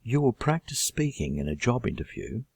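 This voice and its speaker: A middle-aged Australian man introducing his English course in a measured, deliberate teaching manner. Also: mid-range voice, sing-song, a little mellow, breathy edge, no room sound. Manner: measured, deliberate delivery with listed items.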